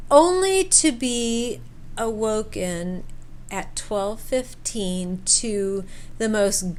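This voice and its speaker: A woman's voice: mid-range voice, slight background noise. A woman telling a personal story casually, acting out bits of dialogue and repeating words for emphasis. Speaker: storyteller sharing a personal camping experience.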